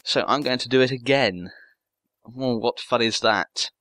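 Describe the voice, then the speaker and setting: A man's voice: mid-range voice, unhurried, fairly bright tone, dry recording. A man giving casual let's-play commentary as a gaming host, rambling and jokey with some swearing. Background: game music.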